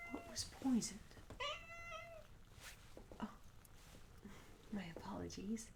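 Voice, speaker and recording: Curious medieval barmaid, female performer, ASMR roleplay: hushed, gently creaky, slow, polite and hesitant. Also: fairly low pitch, very noisy, full character voice. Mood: attentive, curious. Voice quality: soft, breathy whisper. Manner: hesitant, courteous, archaic formal phrasing. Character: curious, polite young medieval tavern barmaid, eager for tales. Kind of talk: soft-spoken whispered ASMR roleplay.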